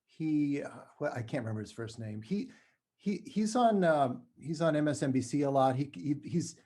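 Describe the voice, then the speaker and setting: Halting middle-aged man in casual conversation. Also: fairly high pitch, animated, warm tone. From New Mexico, United States. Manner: halting, self-correcting. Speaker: podcast guest, writer.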